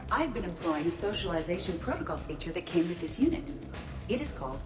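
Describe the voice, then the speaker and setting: A helpful female android in a video game. Speech is formal and courteous with lively pitch movement, set in an echoey space with music clearly behind. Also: quick pace. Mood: polite, helpful. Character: polite, helpful female artificial intelligence in android body. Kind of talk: video game character acting.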